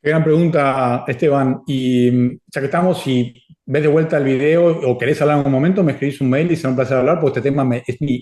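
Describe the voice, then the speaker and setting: A middle-aged man whose first language is Spanish, answering audience questions in a casual, animated way with many restarts. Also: medium pitch, roomy sound. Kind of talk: casual Q&A talk.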